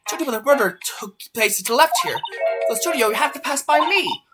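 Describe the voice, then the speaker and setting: A man's voice: extremely high pitch, animated, fairly quick, voice pushed up. A man voicing a bossy, suspicious female security guard in a game dub, with brusque, scolding delivery. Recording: loud game music behind.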